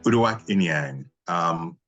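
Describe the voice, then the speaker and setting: A man from Nigeria talks in a low-pitched voice. He is a voice actor answering questions in a casual, conversational interview. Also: animated, takes it slowly, a bit bright, highs cut off, faint music behind.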